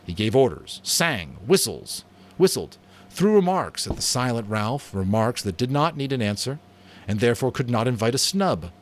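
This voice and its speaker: A man narrating a novel as a dramatic audiobook reading, expressive and theatrical. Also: mid-range voice, moderate pace, a little hiss or hum.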